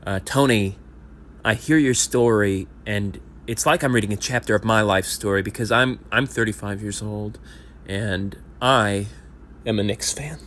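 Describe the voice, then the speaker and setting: A man's voice: fairly low pitch, measured pace, a bit bright, a little hiss or hum. A man in his thirties playing a self-pitying lifelong sports fan at a support group, rambling and self-deprecating, and sometimes rising into indignant rants in an audio play. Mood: earnest confession. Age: 30s. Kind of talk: amateur audio play acting.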